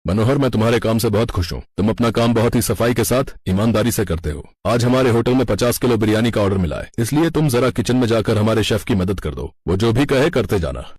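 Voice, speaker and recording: A man's voice: lowish voice, lively intonation, fast talker, few pauses, darkish tone, husky, no room sound. A man voicing a blunt but fair-minded hotel boss in a scripted story. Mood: pleased. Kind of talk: scripted cartoon story dialogue.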